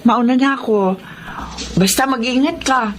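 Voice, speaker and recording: A woman's voice: a little high, unhurried, lightly acted. A woman playing a friendly, concerned neighbor in a Tagalog audio drama. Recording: faint background noise.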